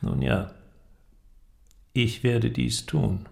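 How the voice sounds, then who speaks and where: An actor playing a sceptical, resolute inventor with a measured, ironic and formal way of talking in an audio drama. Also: male voice, mid-range voice, sing-song, vocal fry, full character voice. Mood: urgent, resolute. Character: worldly, sceptical male inventor, resolute and probing. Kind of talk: German audio drama acting. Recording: music under the voice.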